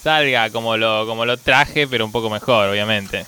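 Man acting indignant car owner, Argentine accent, forceful bright tone, crisp, scolding, insistent, radio comedy. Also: on the low side, normal speed. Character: exasperated, indignant male car owner scolding his mechanic.

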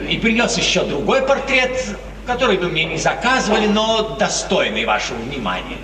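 Elderly proud painter, radio theatre, lively high voice, distant old hall recording. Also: male voice, normal speed, background noise. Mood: courteous. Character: elderly court painter, courteous, quietly proud of art. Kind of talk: 1950s Russian radio theatre acting.

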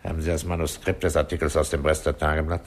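A calm police inspector in an old radio crime play. His voice is very low and he gives orders curtly and without hurry. The recording is old and hissy. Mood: dry, businesslike. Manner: curt, unhurried, authoritative. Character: calm, terse, commanding male police inspector. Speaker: radio drama actor. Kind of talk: 1960s German radio crime drama acting.

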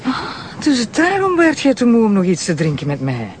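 A sharp Flemish woman prosecutor in a naturalistic crime drama. Her fairly high voice moves up and down a lot as she teases and needles. There is noticeable noise behind her. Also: moderate pace. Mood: curious, wry. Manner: teasing, sardonic, animated. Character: sharp, teasing female deputy prosecutor.

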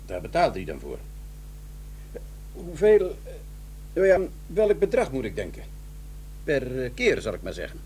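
An actor playing a prim police detective who questions with hesitant fillers in a radio drama. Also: male voice, mid-range voice, huge pitch swings, a little slow, pauses often, a little mellow, a little hiss or hum. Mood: probing.

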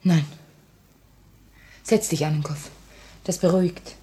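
Earnest, anxious revolutionary woman, low voice. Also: steady tone, slow pace, mellow. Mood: grave, restrained fear. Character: anxious, dutiful woman revolutionary who prepares bombs. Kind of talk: 1950 German-language radio drama acting. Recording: old 1950 radio recording.

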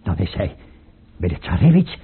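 An actor playing a suspicious, autocratic tsar, imperious and abrupt, in a radio play. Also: male voice, sits low, big pitch swings, moderate pace, husky, heavily acted. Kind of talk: Dutch radio play acting. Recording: noisy, tinny old radio recording.

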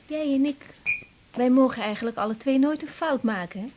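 A woman's voice: high-pitched, brisk, darkish tone, lo-fi sound, close to an everyday voice. An actress playing an earnest young woman doctor who stammers and hesitates, in a 1980s radio drama. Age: young adult.

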